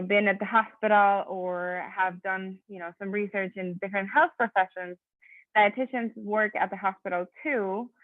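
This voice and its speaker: A woman's voice: medium pitch, dry recording. Spanish-speaking woman from venezuela, 30s, chatty slide talk over a video call, thin phone-line sound, dry. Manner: conversational, many fillers and self-corrections. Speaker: physician assistant giving a talk. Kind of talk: online presentation with slides.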